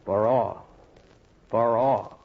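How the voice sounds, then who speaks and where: An actor playing a plain-spoken, heartfelt American storyteller in 1940s radio-drama style, with a folksy, emphatic manner. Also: male voice, fairly low pitch, fairly even intonation, drawn-out words, vocal fry, full character voice. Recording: noisy, dull 1940s radio recording.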